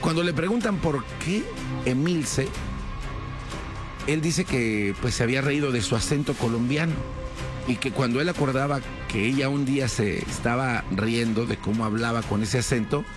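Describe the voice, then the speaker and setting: Man, true crime storyteller, conversational, filler-heavy, mid pitch, quiet music behind. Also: moderate pace. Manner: conversational, frequent "pues" fillers and tag questions.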